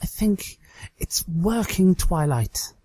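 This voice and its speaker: Casting: high-voiced female pony magician, played by a man, theatrical, breathy and pleading, for storybook narration. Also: animated, slow pace, dark tone, no echo, full character voice. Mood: breathless.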